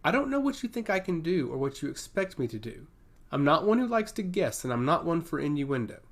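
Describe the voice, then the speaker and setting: A man's voice: a little high, very animated, brisk, pitched above the performer's own voice. A man voicing a grave but hospitable male god of chaos in a fantasy audio story, formal and blunt.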